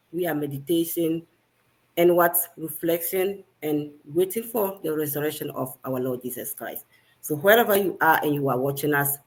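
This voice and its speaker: A woman's voice: lowish voice, low-quality recording. A woman from Ghana hosting a live video call, upbeat, often tossing questions out to the audience. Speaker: host. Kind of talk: live stream chat.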